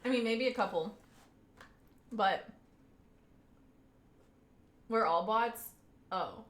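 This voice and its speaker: A woman's voice: mid-range voice, extremely slow, fairly bright tone, reverberant. A young woman with a Southern US accent, chatting casually with her live audience as a streamer and laughing often mid-sentence.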